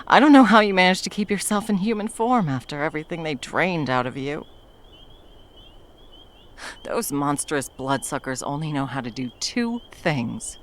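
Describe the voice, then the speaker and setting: A woman's voice: low-pitched, slight background noise. A woman voicing a centuries-old female monster hunter in an ASMR roleplay. She talks bluntly and swears a lot, then drops into tender murmurs. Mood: angry, protective.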